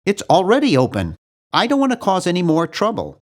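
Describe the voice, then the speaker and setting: A man voicing a nerdy, earnest and anxious young hero in a video game, with a fussy, animated delivery. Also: sits high, brisk. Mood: insistent. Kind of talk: video game character voice lines.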